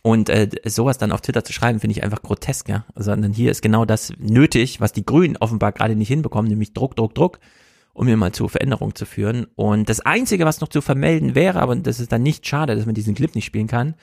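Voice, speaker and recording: A man's voice: fairly low pitch, fast, vocal fry, a little mellow, dry recording, studio quality. A man hosting a livestream, giving casual, opinionated political commentary and calling things grotesque again and again. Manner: opinionated, repeats "grotesk", restless. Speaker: livestream host.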